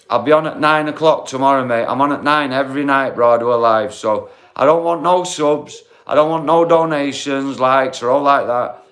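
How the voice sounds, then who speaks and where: A man's voice: mid-range voice, a bit bright. A man in his forties hosting a casual livestream, talking bluntly and swearing, calling his viewers mate and bro. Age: 40s. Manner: blunt, matey, swears, calls everyone mate. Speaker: livestream host. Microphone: phone.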